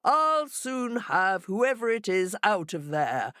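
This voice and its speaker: A woman voicing a proud male jackal who talks brashly and shouts. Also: mid-range voice, big pitch swings, slow, no echo, studio quality, voice pushed up.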